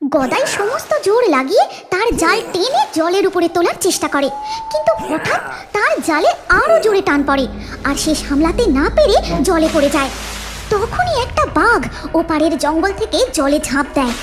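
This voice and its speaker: A woman's voice: extremely high pitch, big pitch swings, moderate pace, over loud music. A woman narrating a scripted cartoon story in a dramatic storytelling manner.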